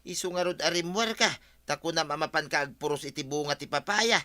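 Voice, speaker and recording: A man's voice: on the high side, fairly quick, pushed and bright. A man playing an exasperated, nagging village husband in an Ilocano audio drama, with an Ilocano accent.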